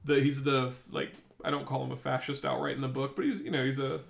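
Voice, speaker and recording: A man's voice: mid-range voice, quick pace, gravelly, echoey room. A man chatting casually as a podcast guest, rambling with lots of fillers and restarts and casual swearing. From Greek-American, raised in Greece, Netherlands and South Carolina. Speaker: author guest.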